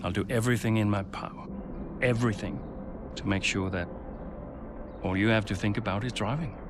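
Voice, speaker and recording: An actor playing an earnest, supportive, persuasive racing team boss in a naturalistic game cutscene. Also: male voice, lowish voice, steady tone, a little fast, background noise, lightly acted.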